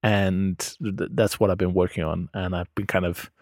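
Guy, 30s, kinda low voice, chill interview answers with lots of uhs, dry close sound. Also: flat delivery, normal speed, dry recording. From Denmark. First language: Danish. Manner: easygoing, many uh fillers and restarts. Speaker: podcast guest.

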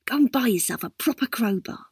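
A woman's voice: on the high side, lively intonation, a little fast, darkish tone, slightly rough, no echo, clean studio sound, soft background music, voice pushed up, exaggerated voice. A woman playing a sly, wheedling young carer.

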